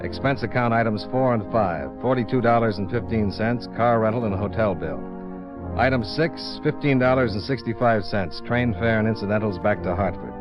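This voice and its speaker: A man's voice: lowish voice, moderate pace, natural voice. An American man playing a cool, wisecracking investigator in a dry, sardonic 1950s radio detective style, on an old recording. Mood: brisk, wry narration. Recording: muffled old radio, loud music.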